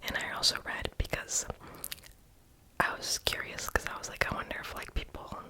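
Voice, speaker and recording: A person whispering a casual, rambling ASMR voiceover in a breathy, unvoiced voice, often stopping to correct themselves. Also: normal speed, sharp tone. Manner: casual, rambling, hesitant self-correcting talk. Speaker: ASMR video creator.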